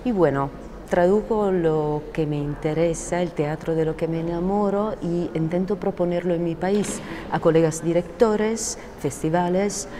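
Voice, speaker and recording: A woman who directs and translates theatre, answering an interview in a measured way with hesitation fillers. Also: lowish voice, mellow, a little hiss or hum.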